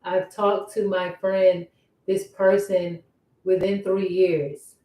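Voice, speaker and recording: Woman, casual vlog talk, soft-edged mellow voice, repetitive questioning delivery, distant microphone. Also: mid-range voice, little pitch movement, slow pace. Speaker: vlogger. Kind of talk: casual personal vlog monologue. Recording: roomy echo, distant microphone.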